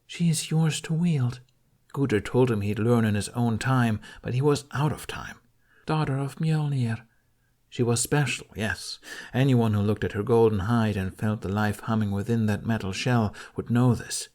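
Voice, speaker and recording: A man's voice: fairly low pitch, steady tone, moderate pace, a little mellow. A man reading an audiobook of fantasy fiction as a dramatic, intense narrator.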